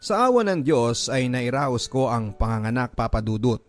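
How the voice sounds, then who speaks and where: A Filipino man narrates a scripted radio drama in the first person. His voice sits at an average male pitch and his delivery is earnest and sentimental. Music plays behind him. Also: sing-song, moderate pace. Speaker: radio drama narrator reading a listener's letter. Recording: music bed under the voice. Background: background music.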